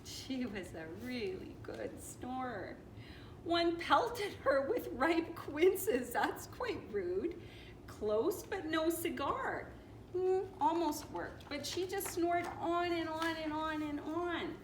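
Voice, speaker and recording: A woman reads a storybook aloud in a fairly high, very lively voice. She is playful and dramatic, adding small asides as she goes. The recording is roomy, with noticeable noise behind her. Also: takes it slowly. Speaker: storyteller reading a picture book aloud. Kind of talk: animated children's read-aloud with asides. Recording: roomy echo with clear background noise.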